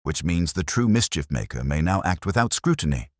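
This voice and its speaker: A man voicing a calm, shrewd police inspector in a mystery story, speaking deliberately and with understatement. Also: low-pitched, no room sound, clean studio sound. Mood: quietly ominous.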